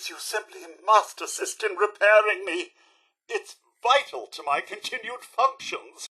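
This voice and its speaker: A man's voice: high-pitched, expressive, unhurried, pushed and bright, breathy edge, heavily acted, low-quality recording. A man playing an anxious, polite robot butler in a video game, with a British accent, a robotic effect and a fussy, melodramatic, formal manner. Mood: anxious, pleading. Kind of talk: video game character voice lines.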